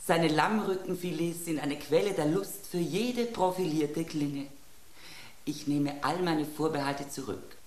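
A woman's voice: low-pitched, unhurried, darkish tone. A woman voicing a self-satisfied, sensual table knife in a radio drama, savouring her words with grand refinement. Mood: savouring delight. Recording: roomy, echoing sound.

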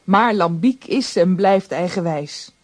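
A man's voice: sits high, animated, slow pace, no echo. Dry-voiced storybook narrator, a man.

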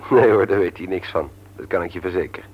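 A mild family man and manager in an old radio drama. His voice is fairly low and husky, his manner polite and hesitant. The recording sounds muffled. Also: fast. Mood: reassuring. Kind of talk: Dutch radio play acting.

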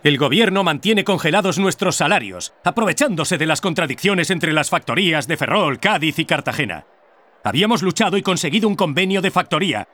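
Fiery union agitator for a radio play, high animated voice, Spanish accent. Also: male voice, brisk. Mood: rousing. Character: fiery male union worker rallying comrades. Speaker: union worker addressing an assembly.

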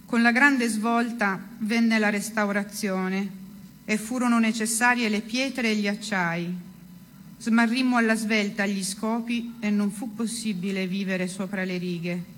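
A woman's voice: medium pitch, fairly even intonation, unhurried, a bit bright, a little hiss or hum. A woman giving a dramatic literary reading of first-person prose. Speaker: reader of a literary text.

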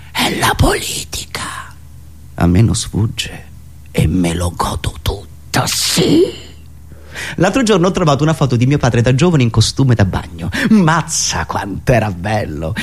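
A man performing a theatrical satirical monologue, ironic, with dramatic pauses and emphatic swings from hushed asides to raised delivery. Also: medium pitch. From Italy. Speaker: writer and performer of a satirical radio monologue show.

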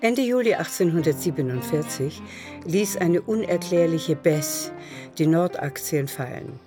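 A woman's voice: sits low, unhurried, over music. A woman narrating a literary radio drama as a storyteller.

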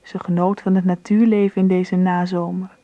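Actress as grown daughter telling her story on radio, measured, mellow woman's voice, bit muffled recording. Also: lowish voice, flat delivery, no room sound, close to an everyday voice. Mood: calm, reflective. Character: grown daughter recalling the past as gentle narrator. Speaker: narrator. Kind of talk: scripted radio drama narration. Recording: dull, lo-fi radio drama.

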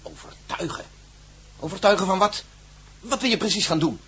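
A man's voice: high voice, animated, moderate pace, fairly bright tone, slightly rough, exaggerated voice. A man playing a practical, impatient and sceptical companion in a radio play, blunt and full of questions. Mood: puzzled.

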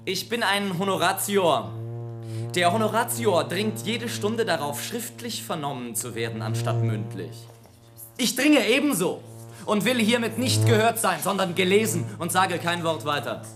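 A man's voice: high voice, very animated, moderate pace. An actor playing a haughty, defiant nobleman on trial in a radio play, speaking in a pompous, sarcastic, formal way. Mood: indignant, stubborn. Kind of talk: German radio play acting. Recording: music behind the voice.

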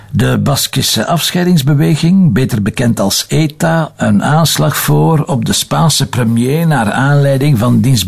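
Gravelly Flemish police inspector. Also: male voice, mid-range voice, darkish tone, heavily acted. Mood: reading gravely. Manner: wry, blunt, colloquial. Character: seasoned male Flemish police inspector, sceptical and dry. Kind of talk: naturalistic television crime drama acting.

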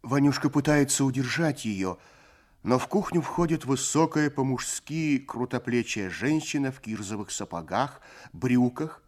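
Male narrator of a radio story. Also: medium pitch. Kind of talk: scripted literary narration for radio play.

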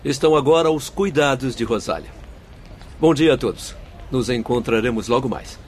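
A man's voice: medium pitch, lively intonation, moderate pace, lightly acted. An actor playing an affable, courteous male spirit guide in a radio drama, with formal, genial speech. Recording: faint outdoor-like background noise.

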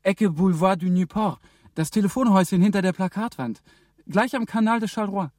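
A man's voice: high voice, brisk, darkish tone, dry recording, clean studio sound, close to an everyday voice. A man playing a hunted young intern. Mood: tense. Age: young adult. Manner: tense, often whispered asides. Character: young male EU intern on the run, tense and hunted. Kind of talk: radio crime drama inner monologue.

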